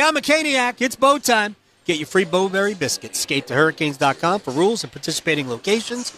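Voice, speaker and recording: A man hosting a hockey radio intermission, talking through highlights in an unscripted, halting way and often correcting himself. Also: sharp tone. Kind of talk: unscripted sports highlight commentary.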